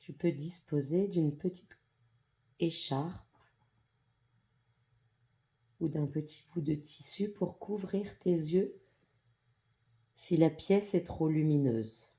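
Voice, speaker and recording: An androgynous voice guides a yoga nidra practice. The tone is extremely mellow and relaxed, and the delivery is slow and calm. Short, soothing instructions come in measured phrases, separated by generous pauses. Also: mid-range voice, vocal fry. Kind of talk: guided meditation, soft instructional narration.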